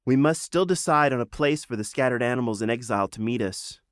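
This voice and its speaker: A man's voice: medium pitch, fast, clean tone, no echo, studio quality, voice pushed up, lightly acted. A man voicing a resolute young animal noblewoman in a storybook, firm and purposeful.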